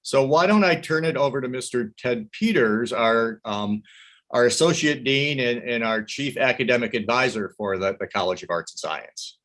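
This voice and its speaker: A man's voice: medium pitch, animated, normal speed, fairly bright tone. A man moderating an online welcome session for students, often stammering and repeating words as he hands over to each speaker. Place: video call.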